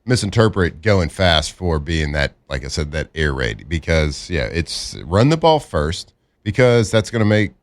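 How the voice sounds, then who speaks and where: A man's voice: low voice, very animated, measured pace, dry recording. A man co-hosting a sports radio show, laid-back and playful, with frequent murmured agreement. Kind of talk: casual sports talk.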